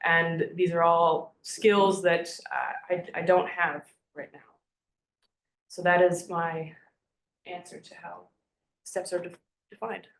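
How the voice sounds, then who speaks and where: A woman panelist speaking in a halting manner with fillers, then handing over the floor. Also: fairly low pitch, little pitch movement, gravelly. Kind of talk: academic panel talk. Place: university event room. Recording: echoey room with faint noise.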